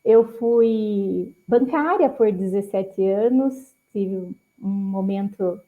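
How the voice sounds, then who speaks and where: A woman's voice: mid-range voice, slow. A woman who worked at a bank for years, a guest on a live stream, speaking hesitantly with many fillers through a headset. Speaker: guest, former bank employee. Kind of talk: casual live stream talk. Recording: tinny call audio.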